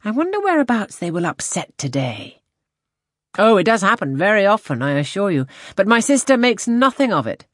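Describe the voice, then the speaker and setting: A woman reading a classic novel, voicing a genial, teasing gentleman naval captain in a hearty, animated manner. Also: mid-range voice, moderate pace, dry recording, studio quality, pitched above the performer's own voice. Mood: cheerful, teasing. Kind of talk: classic novel audiobook character voice.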